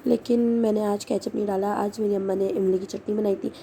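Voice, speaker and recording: A young woman from Karachi, Pakistan, with a hoarse, sore throat, chatting casually and rambling in a home vlog. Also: medium pitch, little pitch movement, brisk, mellow. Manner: rambling, chatty, mixes in English. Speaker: vlogger and schoolteacher. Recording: noisy background hum.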